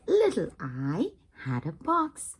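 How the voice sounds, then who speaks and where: A woman's voice: lowish voice, wildly swooping pitch, takes it slowly, a little hiss or hum. A woman reading a picture book aloud to young children in a teacherly manner, putting questions to the listeners. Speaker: children's read-aloud channel host.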